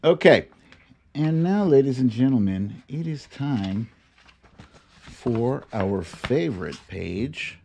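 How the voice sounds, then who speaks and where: A man hosting a live online storytime show, switching between theatrical storybook reading and casual chat, with an exaggerated, mocking, drawn-out delivery. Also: mid-range voice, expressive, vocal fry, a little mellow.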